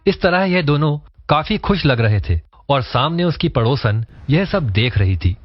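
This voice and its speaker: A man's voice: on the high side, big pitch swings, measured pace, dry recording. A man reading scripted narration for a cartoon story, in a dull lo-fi recording. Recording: dull lo-fi, dry sound.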